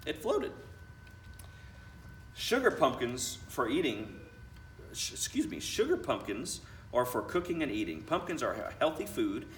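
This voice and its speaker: A man reads a children's book aloud as a school principal would, expressive and chatty with his young listeners. His pitch moves around a lot, and he sounds slightly away from the mic in an echoey room with faint noise. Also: a little slow, distant mic.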